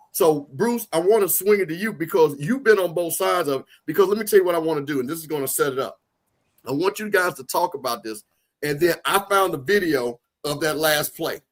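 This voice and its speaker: A man hosting a sports talk show, loud and emphatic, often restarting his sentences. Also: a little high. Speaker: show host.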